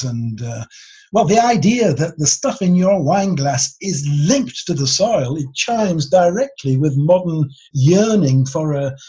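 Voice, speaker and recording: A man explaining his subject informally as an expert being interviewed, in a husky voice. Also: medium pitch, warm tone. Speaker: expert interviewee, geology author. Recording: dull, lo-fi sound.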